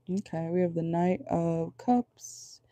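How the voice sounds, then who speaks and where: A woman with a low voice giving a casual tarot reading from home, chatty and rambling, often checking in with her viewers. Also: vocal fry, warm tone. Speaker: tarot reader. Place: at home indoors at night.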